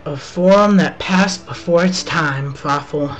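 A woman's voice: low-pitched, measured pace, a little hiss or hum. Woman acting an earnest, nerdy team member. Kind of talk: amateur comedy radio drama acting.

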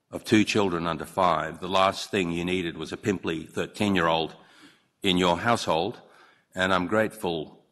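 Deep-voiced man in his sixties. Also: fairly bright tone. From Hong Kong. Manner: measured and earnest, with occasional slips and restarts. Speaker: newly appointed judge giving a speech. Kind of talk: formal ceremonial speech. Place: large courtroom. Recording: long reverb of a large courtroom.

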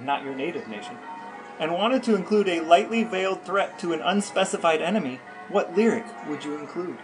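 A man's voice: fairly high pitch, lively intonation, a little fast, music in the background. A man reading out scripted questions as an interviewer.

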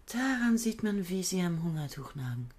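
An actress playing a tired, self-mocking woman scientist in a radio play, talking quietly and often near a whisper. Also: on the low side, very animated, normal speed, no echo. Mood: weary. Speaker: lead character and narrator. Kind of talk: 1970s German radio play acting. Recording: old radio play with loud hiss.

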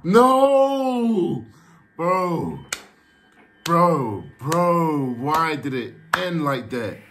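A man's voice: a little high, sing-song, extremely slow, fairly bright tone, a little hiss or hum. A man in his twenties giving casual, slangy, profane reaction commentary as host of a video. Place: small room indoors.

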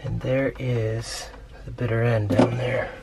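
A middle-aged man talking casually on a sailing vlog, joking and relaxed, with lots of "uh" fillers. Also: mid-range voice, slow, creaky, very noisy. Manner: relaxed, jokey, with many fillers. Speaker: vlogger, boat owner. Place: cabin of a sailboat.

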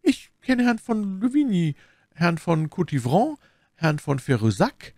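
A man's voice: on the high side, sing-song, moderate pace, darkish tone, dry recording, studio quality, voice pushed up. A man voicing a scheming, effusive noblewoman in a dramatic audiobook. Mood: guarded, uneasy. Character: scheming adult noblewoman, false, intense, gushing.

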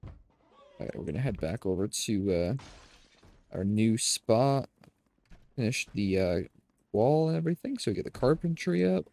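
A man's voice: lowish voice, normal speed, creaky, long pauses. A young man with a nasal voice, casually commenting on his gameplay to viewers through a headset. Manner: relaxed, talks to viewers as "boys". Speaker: gaming streamer. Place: home room, indoors.

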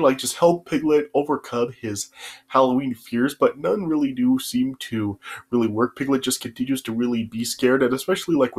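A man's voice: medium pitch, breathy edge. Stuffy-nosed young man rambling on webcam. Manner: rambling, many fillers and restarts. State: stuffy nose from a cold. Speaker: YouTube reviewer. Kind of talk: casual video review. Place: small bedroom, indoors. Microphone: webcam at desk distance.

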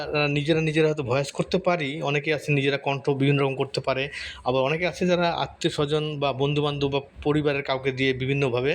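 A man hosting an informal step-by-step tutorial, explaining things in a repetitive way with frequent tag words. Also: on the high side. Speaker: tutorial host. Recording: clearly audible background noise.

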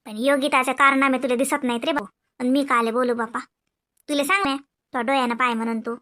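A woman voicing a calm older aunt who gives quiet advice. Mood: gently advising. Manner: slow, low, soothing and wise.

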